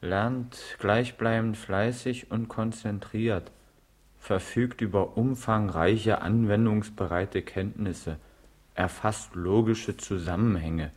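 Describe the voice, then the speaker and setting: A principled, hesitant teenage pupil in a radio drama. He has a lowish voice and speaks slowly, with reserved deliberation. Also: steady tone. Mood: reserved, doubtful. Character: principled, hesitant male secondary-school pupil. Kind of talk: naturalistic radio drama acting.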